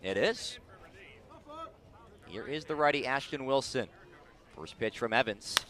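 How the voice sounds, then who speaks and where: A man delivers live baseball play-by-play for radio. His voice is bright and forceful at a middling pitch, and he describes each moment in detail, lifting his delivery as the action builds. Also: a little slow. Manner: descriptive, rising excitedly on big plays. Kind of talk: live radio sports commentary.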